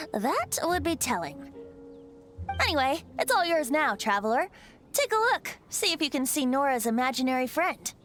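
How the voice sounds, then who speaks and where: A man's voice: extremely high pitch, very animated, measured pace, bright, forceful tone, full character voice. A voice actor playing a playful young male bard in a video game, airy and teasing with frequent laughs. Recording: game audio with music behind.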